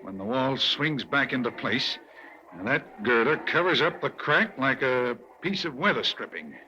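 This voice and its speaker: An actor playing a nervous male crook who explains a hidden tunnel wall in halting, hesitant speech, in an old radio drama. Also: mid-range voice, expressive, bright, forceful tone. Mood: reluctant. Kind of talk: 1940s radio acting. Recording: dull lo-fi old radio recording, faint hiss.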